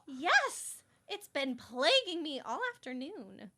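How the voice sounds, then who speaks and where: A woman's voice: high-pitched, very animated, slow pace, pushed and bright, exaggerated voice. A woman playing a chirpy, self-absorbed schoolgirl who narrates her own story in a radio drama. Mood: eager, earnest. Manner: chirpy, oblivious, earnest. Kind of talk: campus radio serial drama acting.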